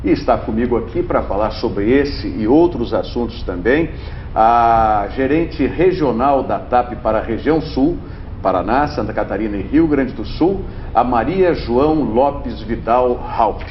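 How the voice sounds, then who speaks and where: A man's voice: mid-range voice, expressive, a little slow, slight background noise. A man hosting a TV interview show, speaking, chatty and full of tag questions. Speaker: TV host. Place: TV studio. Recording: roomy, dull TV audio.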